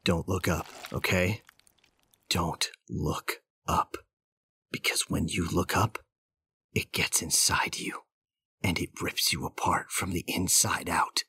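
A man's voice: deep voice, gravelly, bright, forceful tone, slightly rough, full character voice. An actor playing a frightened, armed man trapped at home, telling his horror story in intense, fragmented bursts. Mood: hushed dread. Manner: intense, breathless self-talk with short fragmented phrases. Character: panicked man trapped at home by an unseen intruder, armed, frantic. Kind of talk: first-person horror audio drama narration. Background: music.

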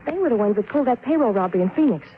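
A woman acting a streetwise, desperate woman caught up in crime, pleading her case in 1950s radio drama style. She talks fast and urgently, through a thin, phone-like vintage recording. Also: fairly high pitch, lively intonation, natural voice. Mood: anxious, pleading. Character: desperate, defensive small-time woman mixed up in crime.

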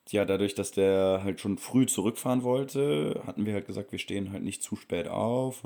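A man's voice: sits low, creaky, dark tone. A young man telling a travel story in a casual, rambling way, full of fillers and restarts. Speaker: vlogger.

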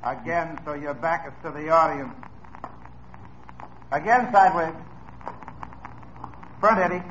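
An actor playing a police lieutenant in an old radio drama, questioning suspects in a brisk, clipped way. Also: male voice, fairly high pitch, fairly bright tone, a little hiss or hum. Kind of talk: 1950s radio drama acting. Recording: muffled old radio broadcast.

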